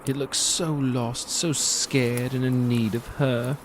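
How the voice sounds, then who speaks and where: An actor playing a gloating predatory monster who narrates a dark fantasy audio drama in a theatrical, savoring, sardonic manner. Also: male voice, medium pitch, flat delivery, slow, noisy background, lightly acted. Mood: dark, mocking.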